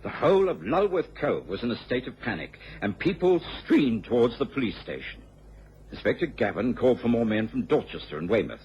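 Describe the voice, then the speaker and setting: An actor playing a genteel, earnest gentleman companion of a detective in a radio drama, telling the case expressively. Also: medium pitch, very animated, a little fast, close to an everyday voice. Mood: measured, grave. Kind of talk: radio drama narration and acting. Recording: dull, lo-fi old radio recording with faint hiss.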